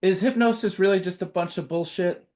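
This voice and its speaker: Higher-voiced man asking casual questions. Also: a little fast, darkish tone. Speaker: test caller.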